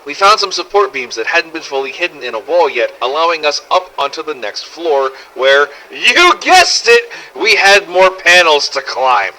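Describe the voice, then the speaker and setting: A man's voice: on the high side, sing-song, moderate pace, hardly any pauses, bright, forceful tone, poor audio. A man narrating a scripted first-person survival story in character, with a dramatic, gritty delivery and some swearing. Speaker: narrator of fan-made game audio logs.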